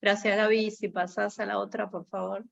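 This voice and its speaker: A woman giving an online theology class, speaking haltingly with many fillers. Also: medium pitch, flat delivery, gravelly, a bit bright, lo-fi sound. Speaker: guest lecturer.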